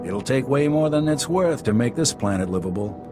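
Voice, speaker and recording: Man acting male military captain, brisk, candid, gruff, lively intonation, video game briefing, background music. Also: medium pitch, natural voice. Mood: brisk, wry. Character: gruff, frank male army captain, a plain-spoken commander. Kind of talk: scripted video game dialogue.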